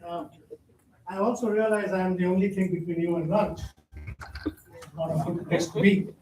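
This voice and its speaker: A man with a big, loud, high voice that still sounds dark, lecturing to a conference crowd and tossing in wry jokes. He is picked up from a distance in a roomy hall, with a faint hum of the room behind him. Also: steady tone, moderate pace. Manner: direct, joking asides to the audience. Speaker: keynote speaker. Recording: echoey hall, distant mic, faint noise.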